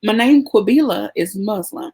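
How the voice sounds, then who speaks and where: A woman hosting a podcast, talking sincerely and thoughtfully about her purpose, with pauses and "um" fillers. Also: mid-range voice, lively intonation, dark tone, slightly rough. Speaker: podcast host. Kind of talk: casual podcast conversation.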